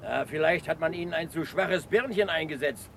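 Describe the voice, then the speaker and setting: A high male voice carries over faint outdoor traffic noise. It is an easygoing patrol policeman in a radio crime drama, hearty and helpful. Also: moderate pace, creaky, a bit bright. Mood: friendly, advising.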